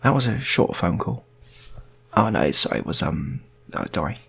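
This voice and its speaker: A British man telling an improvised comic story in a casual, rambling, halting way, often repeating phrases. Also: lowish voice, very animated, creaky, a bit bright, a little hiss or hum.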